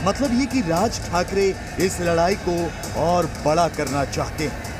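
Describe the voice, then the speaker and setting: High-pitched man narrating news over music. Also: lively intonation, a little slow.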